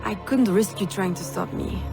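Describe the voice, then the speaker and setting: An actress voices a proud young female assassin from a video game. She speaks formally, with measured, unbending resolve, while music plays clearly behind her. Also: medium pitch, close to an everyday voice. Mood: guarded. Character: proud, defiant young woman assassin freeing slaves. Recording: music under the voice.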